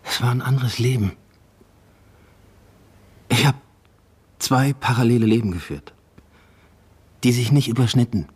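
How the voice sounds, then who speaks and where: An actor playing an evasive, tormented husband in a radio drama, speaking in a halting, pained and defensive way. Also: male voice, medium pitch, a little mellow, slightly rough. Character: evasive, tormented husband confessing a double life. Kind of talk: naturalistic radio drama acting.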